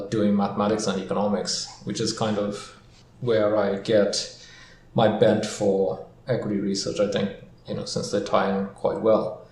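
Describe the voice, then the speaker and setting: A man from Sri Lanka and Singapore, a podcast guest answering questions in a relaxed way with many ums. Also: lowish voice. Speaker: guest, equity research founder. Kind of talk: casual interview answers. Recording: echoey room, faint noise.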